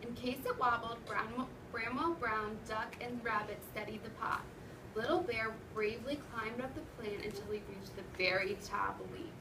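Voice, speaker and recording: Lady teacher doing a read aloud, high voice, far from the mic, noisy room. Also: normal speed. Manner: wondering aloud, prompting. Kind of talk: interactive read-aloud to children. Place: classroom with children present. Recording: roomy, noisy classroom from far off.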